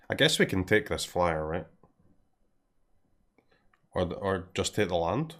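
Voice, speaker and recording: A Scottish man talking through his game as he plays, in a lowish voice. He thinks out loud, trails off into quiet mutters and keeps clearing his throat. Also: very animated, slow. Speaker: game streamer. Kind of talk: casual live commentary while playing.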